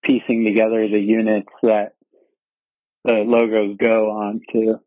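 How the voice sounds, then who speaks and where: A laid-back male host with a low voice asks easygoing, slangy questions. His voice comes through dry and narrow, like a phone or call line. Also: completely flat delivery, measured pace, no echo. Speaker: interview host. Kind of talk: casual interview conversation.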